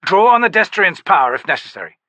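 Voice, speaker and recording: A man's voice: high voice, sing-song, quick pace, pushed and bright, dry recording, voice pushed up, heavily acted. A man voicing a disciplined, composed male knight commander in an audiobook, speaking formally and firmly. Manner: formal, firm, courteous.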